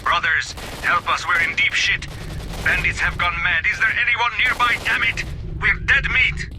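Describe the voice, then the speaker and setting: A man performs a desperate fighter in a video game, begging for help. His voice is high and urgent. Gunfire and clear noise surround it. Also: fairly even intonation, moderate pace, lightly acted. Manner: urgent, pleading. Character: desperate stalker calling for help under attack.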